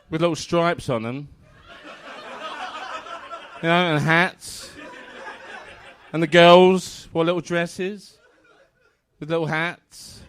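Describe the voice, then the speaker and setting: A man's voice: a little high, fairly bright tone. A man giving a comedic reading of fan fiction, telling it in a rambling, naive way with conversational asides. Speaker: comedic reader on a stage show.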